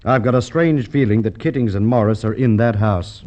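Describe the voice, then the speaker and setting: An actor playing a shrewd police inspector with an Australian accent, deliberate and courteous in the style of golden age radio drama. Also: male voice, on the low side, heavy background noise, lightly acted. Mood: musing, assured. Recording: dull vintage radio drama recording.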